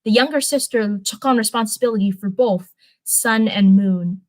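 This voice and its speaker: A woman's voice: on the high side, normal speed, darkish tone. Young woman reading and chatting casually. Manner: rambling, informal, frequent self-corrections. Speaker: livestream host reading fan fiction. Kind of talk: casual livestream chat and story read-aloud.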